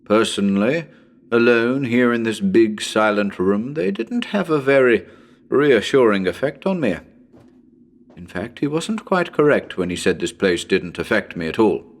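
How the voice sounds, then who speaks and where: A man's voice: mid-range voice, lively intonation, unhurried. A man playing a chatty, wryly uneasy radio broadcaster reporting from a haunted house in a dramatic horror reading. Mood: wry unease. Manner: conversational, wry, hesitant.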